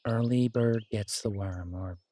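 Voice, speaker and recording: A man playing a confident, protective alpha werewolf boyfriend in an intimate roleplay audio drama, husky and soothing, teasing and reassuring in a casual way. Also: lowish voice, a little slow, dark tone, no echo.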